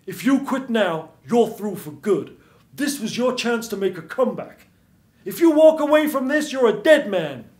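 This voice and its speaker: A pushy old showman of a Hollywood agent, acted by a male reader, pitches grandly in a raised, brash voice. It is noir storytelling in a roomy space. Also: big pitch swings, moderate pace, a little mellow. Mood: furious. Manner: brash, grandiose sales patter. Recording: roomy stage sound.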